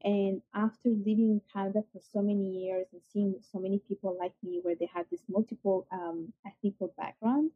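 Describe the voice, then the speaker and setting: A woman's voice: mid-range voice, fairly even intonation, normal speed, hardly any pauses, husky, dry recording. A young woman with an Italian accent, a guest talking earnestly in an interview with many fillers. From Italy. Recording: tinny phone-like call audio.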